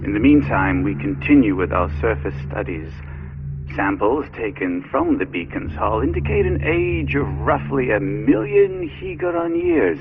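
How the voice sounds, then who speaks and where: Starship research scientist, muffled recording. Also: male voice, fairly low pitch, big pitch swings, normal speed, vocal fry, slight background noise. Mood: measured, clinical. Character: male research scientist, methodical, logging findings aboard starship. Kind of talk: sci-fi video game acting, log narration.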